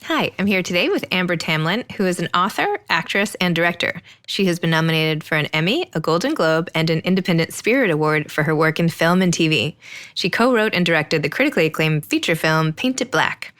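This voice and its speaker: A woman's voice: on the low side, animated, fairly quick, a bit bright. A woman in her forties hosting a podcast, moving between scripted introductions and casual interview talk. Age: 40s.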